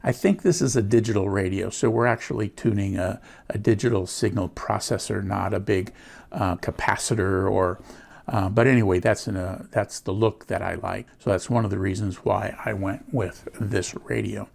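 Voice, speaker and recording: An American man giving a casual product walkthrough, explaining things in an unhurried, plain-spoken way. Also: on the low side, fairly even intonation, vocal fry, darkish tone. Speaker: host of a gadget review channel.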